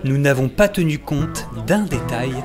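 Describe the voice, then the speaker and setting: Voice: medium pitch, animated, a little slow, lightly acted. A performer playing a team member who explains a mishap, hesitant at times, in an amateur audio drama. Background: music.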